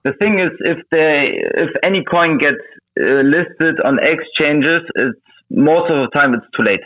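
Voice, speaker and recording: A man whose first language is Russian, chatting casually as an interview guest, halting and searching for words in English. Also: a little high, no room sound. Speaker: interview guest, meme coin trader. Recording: thin phone-like voice-call audio.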